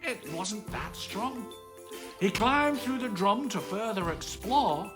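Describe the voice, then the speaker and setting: A woman reading a children's bedtime story aloud with dramatic, drawn-out words. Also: medium pitch, animated, music in the background.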